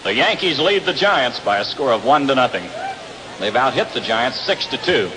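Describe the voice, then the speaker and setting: A man's voice: medium pitch, very animated, normal speed, a crowd in the background. A man with an American accent calls live baseball play-by-play on a noisy 1960s radio broadcast from an outdoor ballpark. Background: loud ambient ballpark noise.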